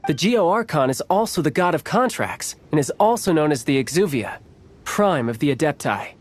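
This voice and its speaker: A man playing a suave, slyly friendly young foreign agent in a video game, with a smooth, teasing, theatrical manner. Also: on the high side, very animated, moderate pace. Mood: confiding. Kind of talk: video game cutscene acting.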